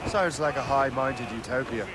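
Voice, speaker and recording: An actor playing a wary, plainspoken young sea captain in a video game. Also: male voice, mid-range voice, moderate pace, lightly acted. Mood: skeptical. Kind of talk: dramatic video game dialogue. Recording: noisy sea and wind ambience.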